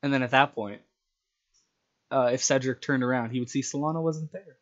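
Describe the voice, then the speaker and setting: A man with a mid-pitched voice, caught on a dull, lo-fi recording. He talks casually as a storyteller, walking through plot ideas and briefly acting out what characters would say. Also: a little fast. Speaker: storyteller and tabletop game player. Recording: dull, lo-fi sound.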